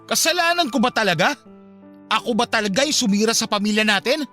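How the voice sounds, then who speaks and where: An actor playing an anguished young adult son in a Filipino radio drama, bitter and pleading, with emotional delivery. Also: male voice, high-pitched, lively intonation, fast talker, sharp tone. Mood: angry, anguished. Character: anguished adult son confronting his cold father. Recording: music bed behind the voice.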